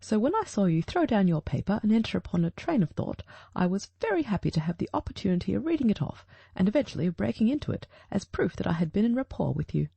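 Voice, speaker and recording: A woman's voice: medium pitch, big pitch swings, quick pace, gravelly, few pauses, warm tone, breathy edge, no room sound, heavily acted. A woman reading the part of a shrewd, self-assured male detective in an audiobook, precise and formal as if teaching.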